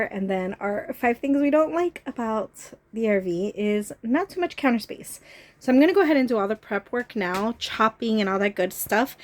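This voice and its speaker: A woman with a stuffy head cold, chatting casually through a cooking vlog in a small RV kitchen, with lots of "like" and "um". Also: a little high. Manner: chatty, with many "like" and "um" fillers. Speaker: RV travel vlogger.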